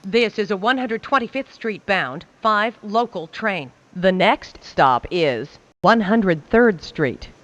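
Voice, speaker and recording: A woman reading scripted subway train announcements in a measured, carefully enunciated way, phrase by phrase. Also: medium pitch, expressive. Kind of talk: scripted automated transit announcements.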